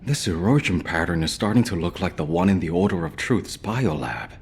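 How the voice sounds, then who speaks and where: Grumbling game specialist; lowish, lively, sardonic. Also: male voice, a little fast, vocal fry, soft background music, full character voice. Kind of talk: game cutscene dialogue.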